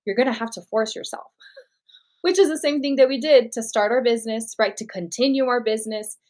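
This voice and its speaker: A woman's voice: fairly high pitch, fairly quick, darkish tone. A woman from Brazil whose first language is Portuguese, a guest speaker explaining confidently and laughing easily in an interview. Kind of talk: interview answers.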